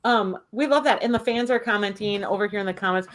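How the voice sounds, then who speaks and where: A woman hosts a casual interview in a chatty, affirming way, often tagging her lines with "right?". Her voice has a middle pitch and a conversational pace. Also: fast talker, fairly bright tone. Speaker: entertainment show host.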